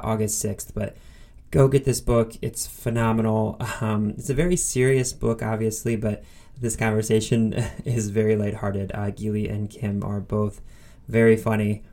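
Male podcast host, fairly deep voice with noticeable fry, casual and keen. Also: mellow. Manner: enthusiastic, informal. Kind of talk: casual podcast intro and conversational interview.

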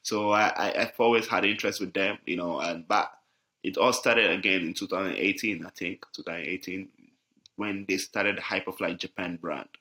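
Deep, bright-toned easygoing man from Ghana. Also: fairly even intonation, moderate pace, poor audio. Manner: easygoing, many fillers, frequent laughs. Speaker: guest, jiu-jitsu athlete. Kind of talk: casual podcast interview.

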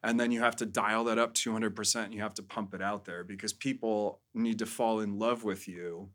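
A man's voice: fairly low pitch, steady tone, fairly quick, fairly bright tone. A man speaking casually as a podcast guest, explaining things frankly in a conversational way. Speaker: podcast guest, adult content creator. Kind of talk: casual interview talk.

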